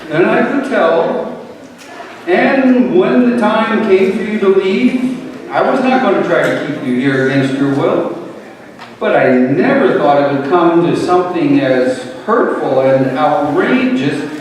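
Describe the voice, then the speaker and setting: A man's voice: fairly high pitch, expressive, takes it slowly, slight background noise. A man playing a wounded, loving old father in a dramatic reading, pleading and theatrical. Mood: patient, then hurt. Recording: echoey hall, distant mic.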